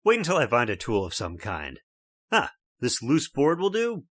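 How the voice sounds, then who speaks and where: A blustery skeptic dad, swings up and down a lot, scoffs at everything. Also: male voice, mid-range voice, wildly swooping pitch, normal speed, no room sound. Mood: scoffing. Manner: brash, scoffing, loud laughs. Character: blustering skeptical middle-aged father, stubborn, scornful. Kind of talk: old-time radio drama acting.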